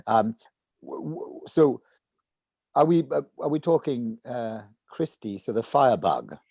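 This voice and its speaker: An older man with a mid-range voice talks through ideas at a casual online rehearsal. He pauses and restarts as he thinks aloud. The sound is dry, with little room around it. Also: medium pitch, sing-song, no echo. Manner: halting, thinking out loud. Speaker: actor. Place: indoor room at home, video call.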